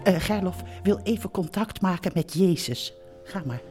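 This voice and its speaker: An actress playing a bossy, warm-hearted middle-aged mother who runs a holiday park, in a chatty, theatrical live audio drama style. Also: lowish voice, animated, moderate pace, natural voice. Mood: bossy, cheerful. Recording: live theatre recording with music.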